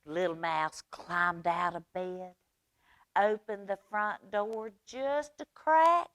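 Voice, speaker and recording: Female storytime reader, very unhurried and expressive, dry close sound with faint music. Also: mid-range voice, no echo. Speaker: children's librarian storyteller. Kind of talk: children's storytime read-aloud.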